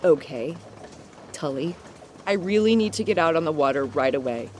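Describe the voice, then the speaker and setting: A woman's voice: low-pitched, expressive, moderate pace, a little hiss or hum. An actress playing a determined young woman, polite but urgently insistent, in an audio drama. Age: young adult. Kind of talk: full-cast audio drama acting.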